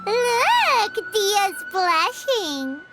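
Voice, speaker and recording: A tiny fairy companion in a video game, with an extremely high, squeaky child's voice. She is perky, cheeky and curious, swinging widely in pitch, with loud music behind her. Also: big pitch swings, drawn-out words, heavily acted. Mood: teasing.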